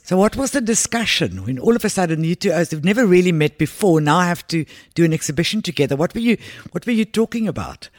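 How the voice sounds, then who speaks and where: A woman's voice: fairly low pitch, animated, fast talker, few pauses, darkish tone, dry recording, studio quality. A woman hosting a podcast, interviewing guests in a conversational style. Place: gallery space.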